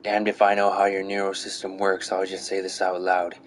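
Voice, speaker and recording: A man's voice: sits low, monotone, a little fast, natural voice. A man voicing a secretive tattooed informant who mutters urgently in a story reading. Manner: muttering, urgent, plain-spoken. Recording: clearly noisy recording.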